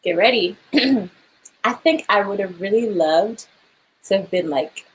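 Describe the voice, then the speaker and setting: A woman's voice: medium pitch, animated, a little slow, slight background noise, poor audio. A young woman, an actor, chatting casually in an interview, laughing often and filling her speech with "like" and "um".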